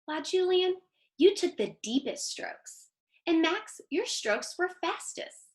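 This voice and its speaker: A woman reading the part of a gentle, loving storybook mother, tender and admiring with her young sons. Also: very high voice, very animated, slow, echoey room, voice pushed up, full character voice.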